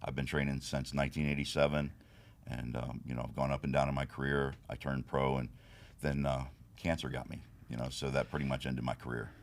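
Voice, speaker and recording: A man in his fifties with an extremely low voice that has a raspy edge. He tells his story steadily and frankly, with many small "you know"s. He speaks as a guest in a casual podcast interview. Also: little pitch movement, a little fast. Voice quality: deep and raspy.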